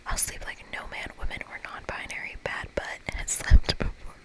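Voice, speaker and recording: An ASMR reader plays an intense, overeager monster girl from a visual novel, desperate to belong. Every word comes in a breathy whisper, pushy and earnest. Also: moderate pace, lightly acted. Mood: excited, earnest. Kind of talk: visual novel game dialogue read as ASMR.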